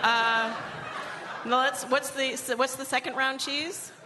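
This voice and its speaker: A woman's voice: sits high, steady tone, takes it slowly, noisy background. A woman hosting a live variety show on stage in front of an audience. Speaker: radio show host.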